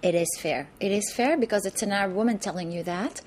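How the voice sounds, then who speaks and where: A woman from Lebanon, answering interview questions thoughtfully, with many pauses, fillers and restarts, in a TV studio. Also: medium pitch, a little mellow, a little hiss or hum. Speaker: interview guest, author.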